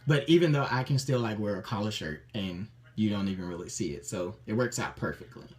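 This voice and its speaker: A man telling the stories behind his tattoos in a casual, upbeat way, often pausing on ums and restarting his sentences. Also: on the low side. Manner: chatty and upbeat, many ums and self-restarts. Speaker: interviewee showing his tattoos. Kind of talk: casual personal account to camera.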